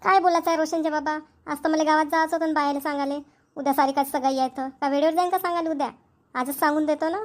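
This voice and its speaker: High, rapid village mother voiced by a woman. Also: steady tone, natural voice. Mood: chatty. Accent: Varhadi Marathi. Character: busy, dutiful middle-aged village mother and wife. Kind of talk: Marathi cartoon comedy dialogue.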